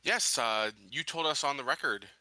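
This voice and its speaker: A man playing a tabletop game, improvising courtroom questions with halting, somewhat formal phrasing. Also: medium pitch, pushed and bright, dry recording. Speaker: tabletop player questioning a witness in character.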